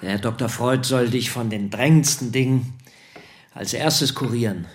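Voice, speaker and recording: A man's voice: medium pitch, normal speed, natural voice. An actor in a German radio drama playing a domineering, self-satisfied middle-aged father, patronising in manner. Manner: imperious, patronising.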